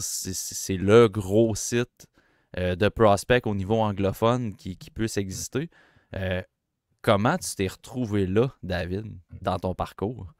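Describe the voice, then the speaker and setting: A man with a Québécois French accent co-hosting a casual hockey podcast, chatty and animated, with plenty of fillers. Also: on the low side, no echo, studio quality. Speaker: podcast co-host.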